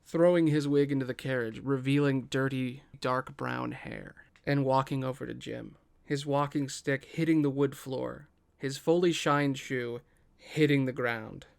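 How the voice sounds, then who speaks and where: A man's voice: medium pitch, fairly even intonation, unhurried, vocal fry, clean tone. A man hosting and narrating a scripted table read podcast.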